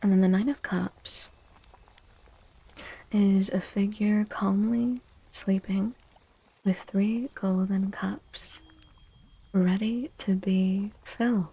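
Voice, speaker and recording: A woman softly speaking and whispering in a gentle, hushed ASMR style, as a livestream host giving a tarot reading. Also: mid-range voice, fairly even intonation, breathy edge, rough recording. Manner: gentle, hushed, unhurried, soothing repetition.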